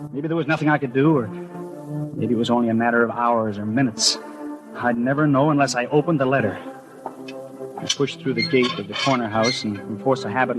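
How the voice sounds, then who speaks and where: A man's voice: medium pitch, close to an everyday voice, poor audio. An actor playing an anxious, imaginative postman who narrates his suspicions and stammers with others, in 1950s radio drama style. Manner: hesitant and stammering when speaking to others. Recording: 1950s radio broadcast with music.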